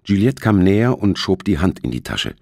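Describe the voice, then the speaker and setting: A man's voice: low voice, sing-song, moderate pace, creaky, dark tone, no room sound, clean studio sound. A man reading a thriller as an audiobook narrator, in scripted narration.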